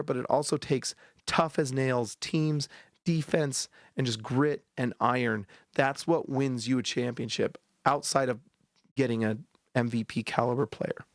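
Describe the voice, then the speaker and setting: A man hosting a post-game basketball show, ranting bluntly and heatedly with a sore, hoarse throat. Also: medium pitch, no echo, clean studio sound. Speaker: sports podcast host.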